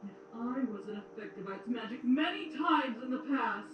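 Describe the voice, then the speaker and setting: A woman playing a cruel, menacing, imperious queen in an audio drama, theatrical and commanding with drawn-out words. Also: a little high, animated, highs cut off, over music, lightly acted. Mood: grave, troubled. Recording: roomy, distant playback with some noise.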